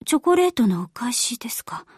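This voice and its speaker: A woman voicing formal, sincere, gently proud anime warship heroines in game voice lines. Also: fairly high pitch, very animated, brisk, breathy edge, no echo, clean studio sound. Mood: reserved.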